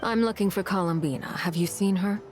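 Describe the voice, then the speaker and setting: A woman playing a composed, intimidating noblewoman in a video game, speaking in a measured, formal, unruffled way. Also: mid-range voice, natural voice. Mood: cool challenge. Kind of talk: restrained video game voice acting. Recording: game audio with background music.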